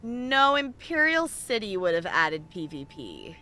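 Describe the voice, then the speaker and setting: A woman's voice: medium pitch, very animated, unhurried, pushed and bright. A woman hosting a game livestream, chatting casually and thinking aloud in a rambling way as she plays. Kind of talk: casual chat while playing an online game.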